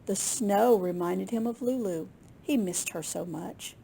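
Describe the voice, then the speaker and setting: A woman with a slightly husky, gravelly voice reading a picture book aloud to young children in an expressive way. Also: mid-range voice, measured pace, a little hiss or hum, low-quality recording. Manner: expressive, with gasps and questions to young listeners. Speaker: children's librarian storyteller.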